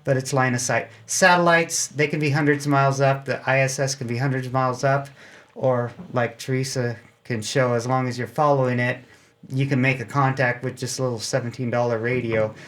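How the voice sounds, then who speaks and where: A man's voice: medium pitch, steady tone, a little fast, fairly bright tone. An American man talking as a club guest in an interview, casually explaining things in a plain-spoken, anecdotal way. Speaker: amateur radio club member, interview guest.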